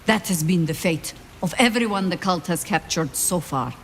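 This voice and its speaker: A woman playing an older, world-weary warrior leader, with a measured, dry, sardonic manner in a fantasy game drama. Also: fairly low pitch, a little hiss or hum, close to an everyday voice. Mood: resolute. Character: wry, world-weary old female warrior, pragmatic leader.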